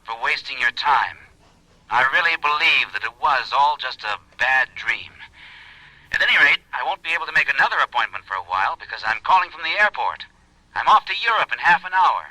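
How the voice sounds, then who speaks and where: An apologetic man on the phone in a radio drama. A telephone filter makes his voice thin and bright, dry and close. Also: mid-range voice, sing-song, brisk, no room sound, exaggerated voice. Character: apologetic man calling from an airport. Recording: tinny phone line.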